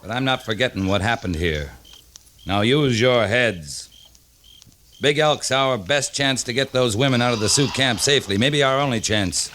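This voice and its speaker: Stern cavalry captain, bright forceful voice. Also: male voice, medium pitch. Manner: clipped, commanding military address. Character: stern, principled male US cavalry captain of the Old West. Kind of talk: 1950s radio western acting. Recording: old radio drama recording, faint hiss.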